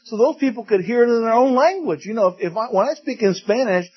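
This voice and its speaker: A man's voice: extremely high pitch, quick pace, breathy edge. A man preaching a conversational teaching sermon to a congregation, often asking them questions and joking in passing. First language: English. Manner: conversational, asks the audience questions, jokes in passing. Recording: dull lo-fi recording.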